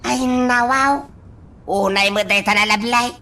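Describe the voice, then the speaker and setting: A woman acting in an amateur radio drama, speaking in a sing-song way with drawn-out sighs. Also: fairly high pitch, fairly even intonation, bright, forceful tone, a little hiss or hum.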